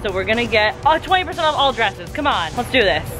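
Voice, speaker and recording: A woman's voice: a little high, sing-song, quick pace. A woman in her twenties chatting casually to a handheld camera at arm's length as she vlogs her day. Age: 20s. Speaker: daily vlogger. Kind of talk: casual vlog chat to camera. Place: handheld vlogging in streets, shops and rooms at home. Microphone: camera held at arm's length. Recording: clear music behind the voice.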